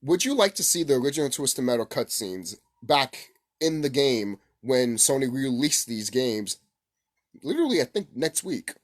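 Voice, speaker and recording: A man's voice: medium pitch, big pitch swings, moderate pace. A man giving a casual, unscripted opinion rant as a gaming commentator, blunt and repetitive with heavy swearing.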